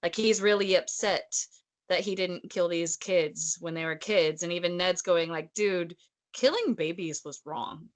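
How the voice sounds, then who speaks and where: A woman's voice: fairly low pitch, a little slow. A woman co-hosting a casual book discussion, talking in a blunt, emphatic, sarcastic way. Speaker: podcast co-host discussing a novel.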